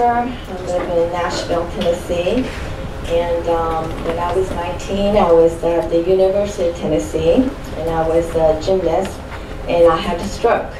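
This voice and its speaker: A woman in her sixties from Chile giving a personal testimony as a panel speaker at a live event. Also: fairly low pitch. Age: 60s. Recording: roomy, distant mic, faint background noise.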